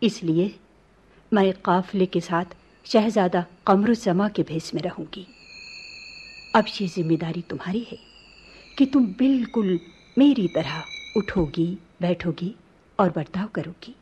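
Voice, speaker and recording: A woman's voice: lowish voice, measured pace, pauses often, a little mellow, lo-fi sound, slight background noise, lightly acted. A woman playing a resolute princess disguised as a prince in a radio play, speaking formally and earnestly. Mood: confiding, urgent. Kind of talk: Hindi-Urdu radio play acting.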